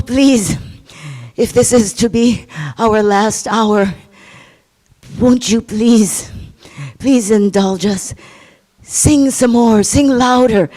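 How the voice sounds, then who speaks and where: A man's voice: sits high, pitched above the performer's own voice. A man doing a brave clever young boy. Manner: pleading, sweetly flattering.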